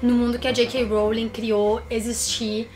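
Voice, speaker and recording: High-voiced Brazilian woman reviewing a film. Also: flat delivery, moderate pace, darkish tone, rough recording. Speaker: co-host of a film review show. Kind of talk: conversational film review and analysis. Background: background music.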